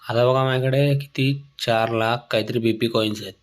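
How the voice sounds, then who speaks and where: A man's voice: medium pitch, fairly even intonation, fairly quick. A man giving casual, blunt gameplay commentary full of slang as a gaming video host.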